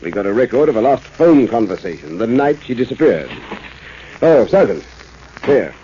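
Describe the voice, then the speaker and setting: An actor playing an emphatic, self-assured police commissioner in a 1940s radio drama. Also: male voice, medium pitch, sing-song, normal speed, mellow, close to an everyday voice. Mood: confident, brisk. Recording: muffled vintage radio with faint noise.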